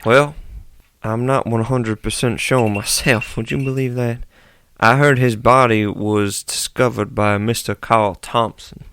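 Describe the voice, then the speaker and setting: A man's voice: medium pitch, steady tone, a little slow, fairly bright tone, clean tone, no echo. A man playing a flustered, evasive small-town priest who stammers, in a radio drama.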